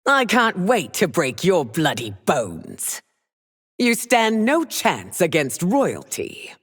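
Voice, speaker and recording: An actress playing a haughty, menacing warrior queen in a video game, husky and theatrical, taunting imperiously. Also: on the low side, huge pitch swings, takes it slowly, big-room sound, exaggerated voice. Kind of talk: video game character voice lines.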